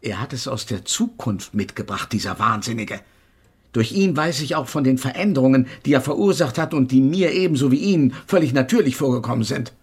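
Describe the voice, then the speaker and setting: An actor playing an invisible male physics professor in a German radio drama, haughty and exasperated, lecturing with pedantic precision in a husky voice. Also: mid-range voice, animated, fairly quick, a little mellow.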